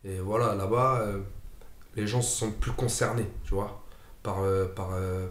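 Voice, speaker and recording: A man's voice: on the low side, fairly even intonation, unhurried. A young man from France talking casually, with many hesitations and fillers. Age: young adult. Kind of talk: casual testimony. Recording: echoey room.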